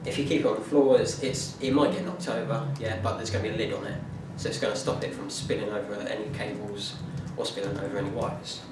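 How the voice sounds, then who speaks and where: A young man speaks in a halting, casual way, stopping often to restart his sentences. His fairly low voice sits far from the microphone in a very echoey room. Clear background noise runs under the whole recording. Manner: halting, many fillers and restarts. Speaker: music student presenting a performance plan. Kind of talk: informal spoken presentation.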